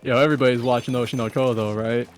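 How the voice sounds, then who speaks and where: A man's voice: mid-range voice, steady tone, quick pace. A man bantering casually with friends in a joking, slangy way. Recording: music playing behind the voice. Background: music.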